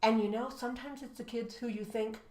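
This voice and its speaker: High-voiced American woman, emphatic teacher. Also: fairly even intonation, brisk, a little mellow. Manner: emphatic, acts out dialogue. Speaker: teacher co-hosting an education show. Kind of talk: animated storytelling and teaching advice. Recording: echoey room.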